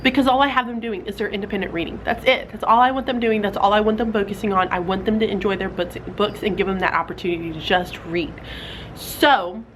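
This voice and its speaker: A woman's voice: medium pitch, steady tone, fast, a little hiss or hum, poor audio. A young woman, a fourth grade teacher, talking casually in a vlog, a little stuffy from a cold, with lots of "like" and "um". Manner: chatty, filler-heavy with "like" and "um". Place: classroom.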